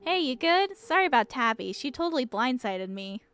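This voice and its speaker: A woman puts on a breezy young friend from a visual novel, chipper and easygoing. The voice is high and bouncy, with music underneath. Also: big pitch swings, moderate pace, a bit bright. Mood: chipper, apologetic. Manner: breezy, chatty.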